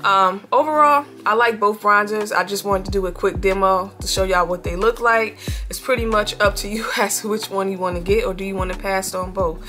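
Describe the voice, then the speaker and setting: A young woman with a bright, clear voice and a slight sniffle from a cold, chatting casually through a makeup demo. She speaks in a relaxed, friendly way straight to the camera from her bedroom, with soft music underneath. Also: medium pitch, fairly quick. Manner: relaxed, chatty, addresses viewers as y'all. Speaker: beauty vlogger. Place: bedroom, indoors, seated before a headboard.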